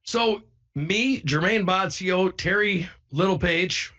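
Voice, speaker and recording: Male online broadcaster, pitched a bit high, informal and insistent storytelling delivery, slightly lo-fi sound. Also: slow, pushed and bright. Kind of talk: informal first-person account to viewers.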